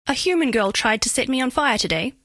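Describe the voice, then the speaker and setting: A high-pitched female alien of living diamond for a video game. She is bashful yet flirtatious, curious and literal-minded, with formal, carefully precise wording. The recording is very dry, with no room sound. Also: expressive, brisk, a bit bright, no room sound. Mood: curious, coy. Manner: precise, stilted formal diction, earnest, coyly playful. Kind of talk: scripted game character voice lines.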